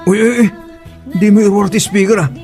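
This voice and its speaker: A woman's voice: medium pitch, fairly even intonation, fast talker, dark tone, soft background music, close to an everyday voice. A woman playing a worried neighbour urging restraint in a comedy audio drama. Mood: alarmed.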